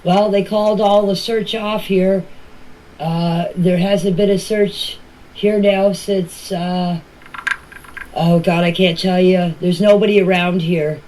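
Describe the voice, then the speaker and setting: A woman's voice: fairly low pitch, fairly even intonation, slow pace, a little mellow, slight background noise, low-quality recording. Middle-aged or older woman, plain-spoken. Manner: plain-spoken, emphatic, repeats herself. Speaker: family member giving her account. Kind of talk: informal personal account in voice messages. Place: voice clips recorded for a group chat.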